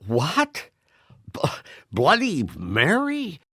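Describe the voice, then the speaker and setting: An actor playing a cowardly, evasive butcher who stammers apologetically in a dramatic video game. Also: male voice, on the high side, huge pitch swings, very slow, pushed and bright, breathy edge, full character voice. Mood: nervous, evasive. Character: nervous, cowardly male butcher shop owner, evasive and pleading.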